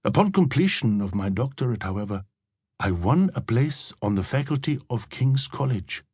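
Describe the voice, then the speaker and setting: Reflective elderly novelist, close and dry. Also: male voice, medium pitch, lively intonation, darkish tone, slightly rough, no echo. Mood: sober, reminiscent. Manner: measured, literary, formal. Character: reflective elderly German-born novelist and professor recalling his past. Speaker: audiobook narrator. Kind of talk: scripted first-person literary narration.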